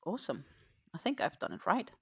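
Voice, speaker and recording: A woman's voice: lowish voice, big pitch swings, brisk, vocal fry, husky, no room sound, dull audio. A woman hosting a crochet livestream, chatting casually with many ums and muttering her stitches as she works. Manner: chatty, rambling, with frequent ums and half-muttered stitch counting.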